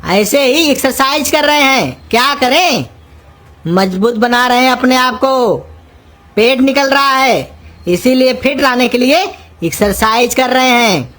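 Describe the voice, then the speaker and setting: A woman's voice: sits high, a little slow, faint music behind. A woman voicing a silly, half-deaf young male cartoon helper, whiny and naive. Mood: puzzled, cheeky. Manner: whiny, naive, loudly querulous. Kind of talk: cartoon comedy dialogue in rustic Hindi.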